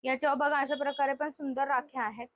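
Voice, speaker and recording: A woman's voice: high voice, steady tone, fast talker, a bit bright, dry recording. A woman hosting a shopping vlog, showing products to viewers in an unscripted, conversational way. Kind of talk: spontaneous product showcase, presenting to viewers and chatting with the seller.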